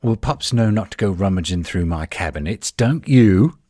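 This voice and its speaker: Man acting a low, husky, prickly werewolf. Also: expressive, moderate pace, warm tone, no room sound, clean studio sound. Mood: exasperated. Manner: indignant, evasive, sarcastic. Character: gruff, defensive tsundere male werewolf, flirtatious and prickly. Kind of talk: roleplay audio drama monologue.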